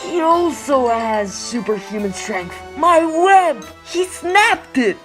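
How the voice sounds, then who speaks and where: Casting: male actor as youthful wisecracking superhero, very lively intonation, brash comic-book delivery, light music behind. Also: very high voice, takes it slowly, heavily acted. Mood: straining, alarmed. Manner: brash, jaunty, slangy. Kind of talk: amateur comic dub acting.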